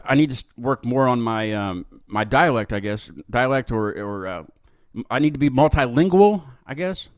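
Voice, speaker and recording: A man's voice: mid-range voice, no room sound, studio quality. A man casually hosting an interview show, rambling and correcting himself as he goes. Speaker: host of a music interview show.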